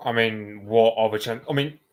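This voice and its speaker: A man's voice: on the low side, fairly even intonation, normal speed. A man in a casual online political chat, speaking hesitantly with restarts.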